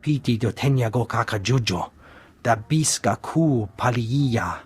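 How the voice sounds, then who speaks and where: An actor voicing a male alien palace advisor in a video game, declaiming in an invented tongue with a husky voice. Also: mid-range voice, expressive, unhurried. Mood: grave. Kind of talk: dramatic video game acting.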